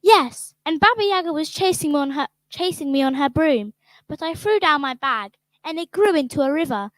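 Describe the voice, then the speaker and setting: A young girl speaks in a high, eager voice, excited and earnest. She tells her story in a rush. The sound is dry and close, as in a radio play. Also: normal speed, dry recording. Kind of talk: children's radio drama.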